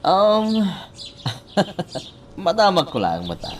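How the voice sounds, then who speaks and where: A man's voice: sits high, wildly swooping pitch, very slow, slight background noise, heavily acted. A man playing a friendly, curious younger man, a respectful nephew, in a radio drama. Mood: curious, teasing.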